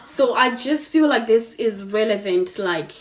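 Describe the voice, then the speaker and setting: A woman from Zimbabwe with a Zimbabwean accent, a native Shona speaker, chatting casually as a vlogger in an animated, emphatic way that repeats phrases for stress. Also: on the high side, measured pace. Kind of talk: casual chat to camera.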